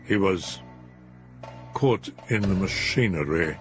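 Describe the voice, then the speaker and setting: A man doing a grave formal visitor, very low voice, hushed, faint music. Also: animated, takes it slowly. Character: grave uneasy male messenger, formal and stiff.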